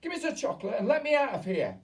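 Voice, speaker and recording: A narrator voicing a spoiled, greedy boy who shouts and whines demands in an audiobook reading. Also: sits high, sing-song, a little fast, pitched above the performer's own voice. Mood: demanding. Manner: whiny, bratty, shouting.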